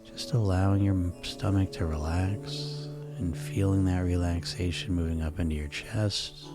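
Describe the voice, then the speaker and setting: A man guiding a sleep hypnosis session in a slow, soothing, repetitive way. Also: low-pitched, steady tone. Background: music.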